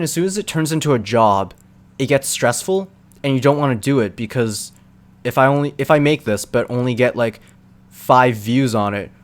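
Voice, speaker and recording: Young male streamer, mid pitch, casual and rambling, off-the-cuff chat. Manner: relaxed and rambling, with fillers and quick laughs. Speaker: streamer answering chat questions.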